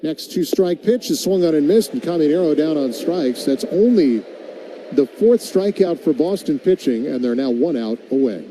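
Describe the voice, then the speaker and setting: A male baseball announcer doing live radio play-by-play. His voice sits a little high for a man but has a very soft, dark tone. There is faint noise behind him. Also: lively intonation, normal speed, rough recording.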